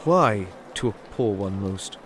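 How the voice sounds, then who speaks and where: An actor playing a humbled miser in an audio drama, polite, hesitant and earnest, meekly eager to learn. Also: male voice, fairly low pitch, animated, unhurried, fairly bright tone, slight background noise. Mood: curious.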